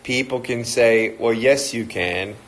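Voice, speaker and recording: A man's voice: mid-range voice, slow, a bit bright. A man in his thirties with an Australian accent, chatting casually and joking as he greets viewers on a livestream talk. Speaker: motivational speaker.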